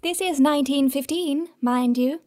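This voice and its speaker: A woman's voice: high-pitched, moderate pace, darkish tone, no room sound, studio quality, lightly acted. An actress playing a narrator in an audio drama, a woman who prods and challenges with pointed interjections. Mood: challenging.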